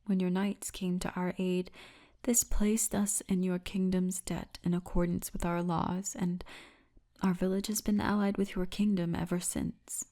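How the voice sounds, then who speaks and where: Woman playing a soft, mellow female orc guide. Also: fairly low pitch, level tone. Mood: gentle, earnest. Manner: soft, intimate, unhurried storytelling, often breathy. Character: friendly, teasing female orc tour guide, gentle and candid. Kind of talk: ASMR roleplay, addressed to the listener.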